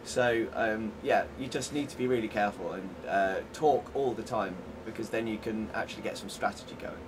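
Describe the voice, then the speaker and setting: A man's voice: on the low side, fairly quick. Young man, slightly distant, noisy outdoors. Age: 20s. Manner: many "you know"s, rambling. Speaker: blind football player being interviewed. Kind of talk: casual interview talk. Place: outdoors in front of bushes.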